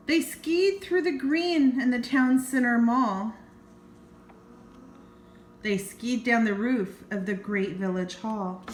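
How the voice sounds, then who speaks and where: Older female storyteller, grandmotherly read-aloud, unhurried and exclaiming, with an echoey room sound. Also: on the high side, slight background noise. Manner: expressive, exclaiming, gasping. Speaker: grandmother reading a picture book to children. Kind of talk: animated read-aloud storytelling with chatty asides. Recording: roomy, echoing home recording.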